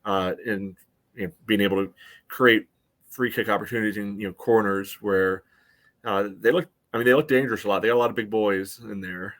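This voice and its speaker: An American man co-hosting a sports podcast, giving casual game analysis in a measured, conversational way. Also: lowish voice, fairly even intonation, a bit bright. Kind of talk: casual soccer match analysis.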